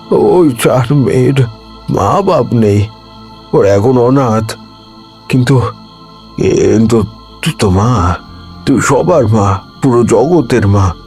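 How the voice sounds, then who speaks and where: An actor playing a devout, protective old village priest in an audio horror drama, with halting, repetitive phrasing. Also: male voice, medium pitch, a little mellow. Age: older. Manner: slow, halting, repeats words in anguish. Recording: music bed under the voice. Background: music.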